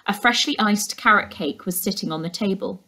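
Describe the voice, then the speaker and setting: A young adult woman, a teacher, reading a children's novel aloud in expressive narration, recorded indoors on a laptop microphone. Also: mid-range voice, moderate pace. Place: indoors, living room.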